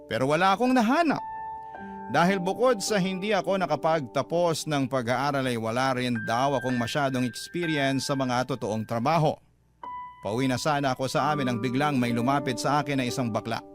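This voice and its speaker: A man with a Filipino accent reading a first-person story as a radio drama narrator, measured and lingering on phrase endings. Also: medium pitch, music in the background. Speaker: narrator of a letter sender's story.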